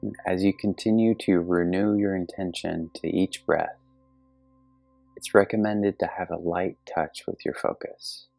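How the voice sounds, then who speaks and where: A man leading a guided meditation as an instructor, speaking gently and calmly with measured pauses. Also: low-pitched, vocal fry, dry recording, rough recording. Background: soft meditation music with singing bowl tones.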